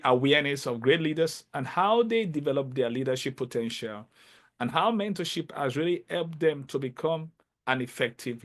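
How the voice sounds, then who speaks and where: A man with a medium-pitched voice hosts an interview podcast. He speaks emphatically and with enthusiasm, often stopping to restart or repeat a word before he lands his point. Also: fairly bright tone. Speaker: podcast host.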